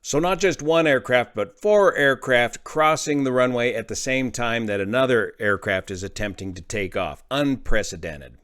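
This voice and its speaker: A man's voice: mid-range voice, moderate pace, few pauses, fairly bright tone. Man giving emphatic aviation commentary. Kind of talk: explanatory incident commentary.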